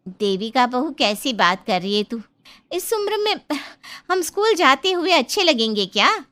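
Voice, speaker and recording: A woman's voice: sits high, very animated, measured pace, sharp tone, heavily acted. A woman voicing an elderly, plain-spoken village mother-in-law in a cartoon story. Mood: doubtful. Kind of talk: cartoon moral story dialogue.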